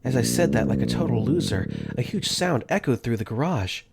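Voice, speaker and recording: A man narrating his own story in first person, earnest and quick to get flustered. His pitch moves a lot as he tells it. Soft music plays behind him. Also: very animated, gravelly, slightly rough. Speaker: narrator and lead in fan dub.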